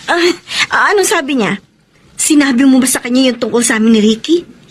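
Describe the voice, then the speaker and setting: An actress with a Filipino accent playing a conflicted, pleading young wife in a radio romance drama. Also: female voice, high voice, lively intonation, a little fast, husky. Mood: flustered. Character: conflicted young wife longing to work abroad.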